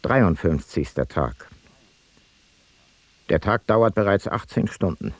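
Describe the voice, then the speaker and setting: An actor playing an ancient Greek explorer-scholar, reading his logbook in a measured, factual radio-play narration. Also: low voice, animated, no echo, exaggerated voice. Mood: matter-of-fact.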